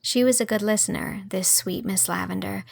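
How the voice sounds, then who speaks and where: A woman's voice: mid-range voice, moderate pace, gravelly. A woman reading scripted audiobook narration as a storyteller.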